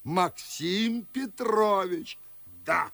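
An actor playing a pompous, excitable old Russian nobleman in a verse radio play, speaking in a gravelly, husky voice and savouring his words. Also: sits high, drawn-out words, no echo, heavily acted. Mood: boastful, reminiscing. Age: older. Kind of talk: theatrical verse radio drama.

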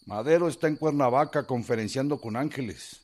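An actor playing a loyal, eager revolutionary soldier, reporting earnestly and deferentially in a radio drama. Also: male voice, mid-range voice, fairly quick, darkish tone, natural voice. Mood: earnest, urgent.

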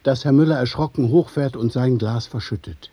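A man's voice: mid-range voice, moderate pace, warm tone, husky, no room sound. A man narrating a scripted radio play in vivid literary prose. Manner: literary storytelling in vivid present tense.

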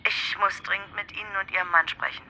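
A woman playing a stern, formal schoolteacher speaking briskly over the telephone in a radio drama. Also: medium pitch, fairly even intonation. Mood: stern, urgent. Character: stern female maths and physics schoolteacher. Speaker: class teacher. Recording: noisy, thin telephone line.

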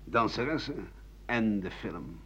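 A man's voice: lowish voice, expressive, slow, a bit bright, slightly rough, heavily acted. An actor playing an embittered ageing writer and doctor who rambles sardonically through his memories in a radio monologue. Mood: wry, relishing. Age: older. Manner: rambling, bitter, sardonic, with muttering and dry chuckles. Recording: dull 1980 radio play recording.

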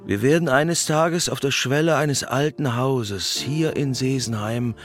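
Actor as dreamy male lover, medium pitch, slow deliberate talk, radio play, faint music. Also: natural voice. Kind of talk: radio drama dialogue.

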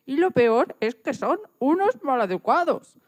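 A woman's voice: high-pitched, very animated, a little slow, a little mellow. A woman voicing a gossipy, indignant hen in a children's radio play, complaining emphatically. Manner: emphatic, complaining, repeats words. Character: indignant, gossipy hens fussing about stolen eggs.

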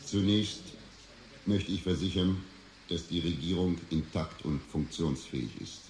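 An actor playing a grave American president giving a measured, formal emergency address in a radio drama. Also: male voice, low-pitched, darkish tone. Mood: solemn, official. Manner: measured, solemn, official. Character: grave American president addressing his nation after nuclear war. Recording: echoey with faint noise.

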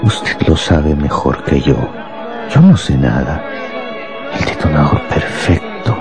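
Fanatical professor, radio play: low voice, grandiose, calmly menacing, score underneath. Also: male voice, slow, over music, heavily acted. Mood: solemn, obsessed. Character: cold fanatical bomb-making professor, proud and deadly calm. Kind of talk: Spanish-language radio drama acting.

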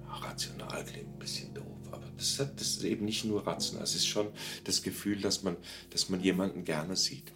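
A man's voice: low-pitched, steady tone, a little fast, gravelly, hardly any pauses. A man talking casually and reflectively, searching for his words as he thinks aloud. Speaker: interviewee. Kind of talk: casual reflective talk about relationships. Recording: loud guitar music behind the talk.

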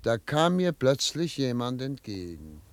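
An actor playing a skeptical, commanding American investigator who also narrates, in 1950s German radio play style. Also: male voice, medium pitch, very animated, measured pace. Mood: reflective narration. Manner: clipped, sardonic, commanding. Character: brusque, skeptical American investigator narrating his own story.

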